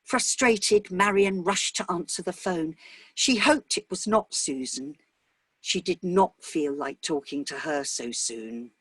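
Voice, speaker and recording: Slow, husky woman reading a story. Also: lowish voice, dry recording. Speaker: short story reader. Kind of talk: scripted narration of a dramatic short story.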